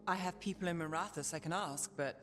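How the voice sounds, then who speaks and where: An actress playing a frank, pragmatic, sober companion in a video game cutscene. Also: female voice, on the low side, a little fast, a bit bright, long reverb tail, a little hiss or hum, natural voice. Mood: sober, doubtful.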